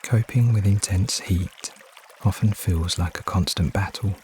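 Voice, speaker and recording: Male meditation guide, deep register, soothing and unhurried with frequent pauses, trickling water behind. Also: slight background noise. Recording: steady water ambience behind voice. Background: trickling stream water sounds.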